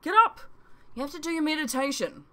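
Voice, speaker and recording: Storybook mentor, read by a woman: bossy man, brisk and insistent with his pupils. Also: high-pitched, sharp tone, pitched above the performer's own voice.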